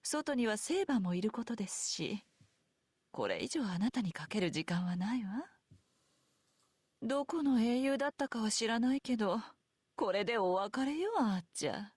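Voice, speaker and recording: A haughty sorceress, lively and breathy. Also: female voice, on the high side, fast talker, dry recording. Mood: smug. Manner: elegant, theatrical, disdainful. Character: haughty, cunning female sorceress, coolly condescending. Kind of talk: Japanese visual novel voice acting.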